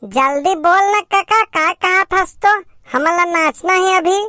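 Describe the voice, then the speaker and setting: A woman's voice: very high voice, a little slow, bright, forceful tone, no room sound, clean studio sound, exaggerated voice. A woman voicing an impatient, boisterous young villager in a cartoon comedy, with a brash manner and a Chhattisgarhi accent.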